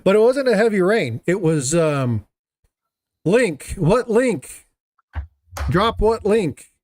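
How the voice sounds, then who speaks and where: Casual man hosting a livestream, slightly high. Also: big pitch swings, slow pace, a little mellow. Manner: rambling, self-deprecating. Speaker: livestream host. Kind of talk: casual chat.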